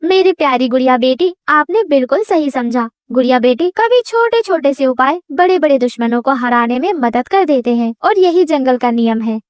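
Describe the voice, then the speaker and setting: A woman's voice: high-pitched, lively intonation, normal speed, hardly any pauses, dry recording, studio quality. A woman voicing a brave, loving mother bird in a cartoon story, reassuring and instructive. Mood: wise, loving. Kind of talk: animated children's bird story.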